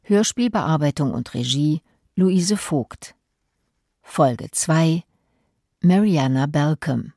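Langsame, trockene Ansagerin eines Hörspiels. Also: female voice, low-pitched, lively intonation, warm tone, no room sound, clean studio sound. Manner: formal, deliberate. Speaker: announcer. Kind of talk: scripted radio drama title announcement.

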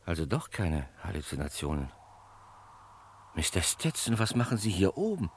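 A man's voice: deep voice, very animated, normal speed. An actor playing an officious, rule-bound cemetery watchman in an old German radio play, pedantic and stiffly polite. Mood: officious, impatient. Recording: old radio recording, faint noise.

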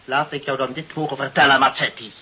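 An actor in a Dutch radio play playing a pompous, blustering government director with a preachy, repetitive way of talking. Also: male voice, medium pitch, little pitch movement, quick pace, lightly acted. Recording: muffled, hissy old radio recording.

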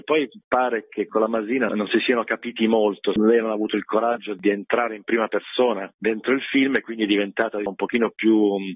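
A man speaks over a narrow, tinny line with a dry sound. His voice is lowish and husky, in the conversational style of a theatre director giving an interview. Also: dry recording.

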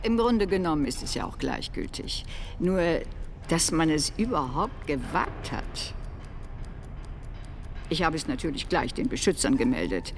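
An actress playing a prim, cruel schoolteacher and informer in a German radio play, confiding in a deliberate, drawn-out way. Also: female voice, low-pitched, big pitch swings, slight background noise, exaggerated voice. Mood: wounded, self-righteous.

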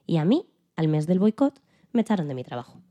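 Woman playing a fast-talking, very mellow dignified activist. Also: low voice, very animated, lo-fi sound. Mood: earnest, resolute. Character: Rosa Parks, elderly woman activist recalling the bus boycott, dignified. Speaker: podcast guest in a dramatized interview. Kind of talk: scripted first-person storytelling in interview form.

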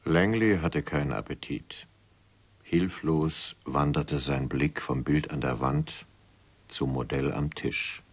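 An actor narrating a 1970s radio play as a grave, detached storyteller, husky and sober. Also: male voice, a bass voice, heavily acted. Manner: slow, sober.

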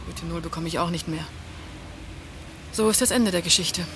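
A woman's voice: lowish voice, normal speed, background noise, lightly acted. Urgent, insistent mother, played by an actress. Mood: bitter despair. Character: desperate, insistent Russian mother fighting for her sick son. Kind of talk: German radio drama acting.

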